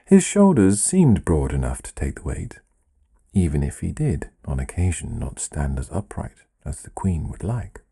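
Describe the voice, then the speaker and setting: Male storyteller for literary fable: low, husky, measured delivery with wry, expressive swings and deliberate pauses. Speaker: storyteller reading own written fable. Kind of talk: literary fairy-tale narration.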